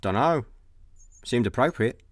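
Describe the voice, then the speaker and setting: A man's voice: medium pitch, lively intonation, a little slow, no echo. A young Scottish man playing an idle, agreeable space slacker in a comic radio play, chatting casually. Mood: casual, musing. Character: laid-back, idle male space slacker, mildly eager. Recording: dry studio, faint music behind.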